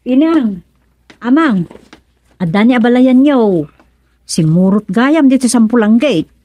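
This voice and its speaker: Grown village daughter female actor, Ilocano radio comedy serial: bright-spirited, high-pitched, very animated intonation. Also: measured pace, no room sound. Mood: cheerful.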